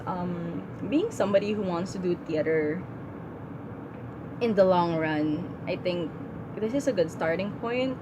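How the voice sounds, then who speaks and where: A young woman vlogging in a casual, heartfelt way, with plenty of ums and likes. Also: fairly low pitch. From Philippines. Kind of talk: casual reflective vlog. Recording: noisy room with air conditioning hum.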